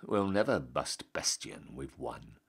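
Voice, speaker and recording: A man acting out a stubborn army private from a comic monologue, with a Northern English accent. He is blunt and dry, speaking slowly in a husky, rough voice. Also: rumbling low, very animated, voice pushed down, heavily acted. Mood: bluntly confident.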